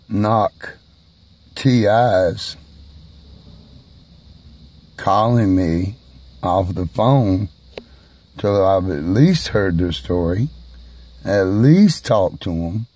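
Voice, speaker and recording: A man speaking slowly and haltingly in a personal video monologue, who is hard of hearing and raises his voice at times. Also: fairly low pitch, a little mellow. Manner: slow, halting, emphatic delivery.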